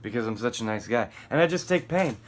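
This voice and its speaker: A man's voice: mid-range voice, lively intonation, fast, a little hiss or hum. A man on a rambling solo vlog rant, profane and combative, swinging between muttering and outbursts. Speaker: vlogger.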